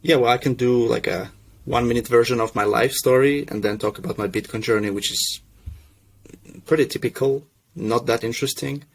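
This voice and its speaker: A young man from Russia who grew up in Finland, a podcast guest telling his story in a relaxed, self-deprecating way with many restarts. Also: medium pitch, a little fast, vocal fry. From Russia, raised in Finland. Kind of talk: casual podcast chat.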